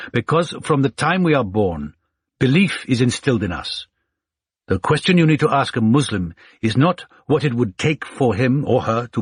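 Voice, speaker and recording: A man voicing a blind older male Egyptian scholar who is wise and witty, speaking in courteous, measured, formal phrases. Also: mid-range voice, breathy edge, no echo, clean studio sound.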